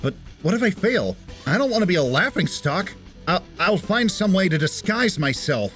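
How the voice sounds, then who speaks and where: A man's voice: sits high, sing-song, moderate pace, fairly bright tone, faint music behind. A man playing a shy, earnest teenage science student in a comic dub, talking dramatically to himself. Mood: pondering. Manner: earnest, dramatic self-talk.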